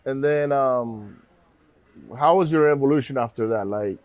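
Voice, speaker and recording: A man's voice: mid-range voice, a little slow, darkish tone, no room sound, muffled recording. Casting: male podcast host, easygoing and friendly, dry close sound. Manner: friendly, hype-style, uses bro and man. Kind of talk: casual interview chat.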